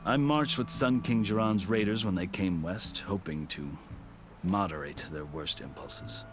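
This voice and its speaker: An actor playing a proud, weathered captive soldier and former high commander in a video game, speaking formally and with measured, theatrical eloquence. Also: male voice, noisy background. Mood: wry, solemn. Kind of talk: video game cutscene acting. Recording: music behind the voice.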